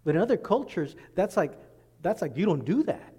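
A man preaching a sermon as pastor, working through scripture and acting out quoted speech in lively voices. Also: high-pitched, moderate pace, breathy edge. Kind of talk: expository sermon. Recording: long reverb in a large hall.

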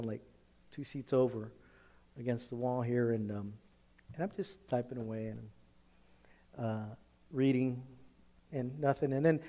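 A man, a pastor, tells a personal story in a conversational, halting sermon style, often acting out the dialogue. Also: mid-range voice, darkish tone. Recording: echoey hall with long reverb.

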